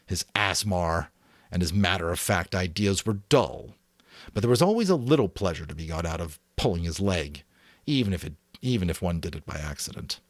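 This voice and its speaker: Deep, animated male storyteller. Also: no room sound, studio quality. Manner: expressive storytelling. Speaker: narrator. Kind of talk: dramatic audiobook reading.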